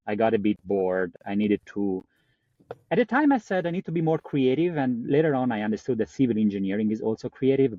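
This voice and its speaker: A middle-aged man with a strong Italian accent, a guest on a podcast, talking lively and laughing often. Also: lowish voice, no room sound, highs cut off. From Italy. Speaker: guest, climate engineer. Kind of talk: podcast interview.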